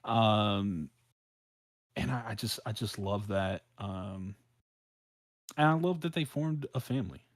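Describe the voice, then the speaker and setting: Slow-talking, low-voiced American man. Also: no echo, clean studio sound. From South Central Los Angeles, United States. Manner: hesitant, searching for words, repeating phrases. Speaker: podcast co-host. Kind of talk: casual podcast chat.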